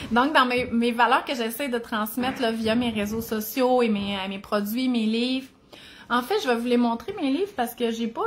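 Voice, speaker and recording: A woman in her forties with a Quebec French accent, chatting casually to camera as a mom and nutrition author, talking in an easy flow with tag questions and little laughs. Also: a little high. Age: 40s.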